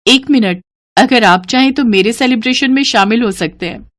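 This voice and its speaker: A woman's voice: on the high side, normal speed, fairly bright tone, no room sound, natural voice. A woman voicing a modest, principled young college woman in a cartoon story, earnest and restrained with a hurt edge. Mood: polite. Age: young adult.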